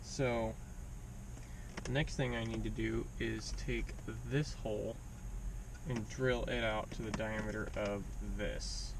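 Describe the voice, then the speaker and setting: A man's voice: on the low side, little pitch movement, slow pace, creaky, background noise. A man giving a casual, plainspoken hands-on how-to, talking himself through each step as he works. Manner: plainspoken, muttering step by step while working. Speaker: DIY vehicle installation video host.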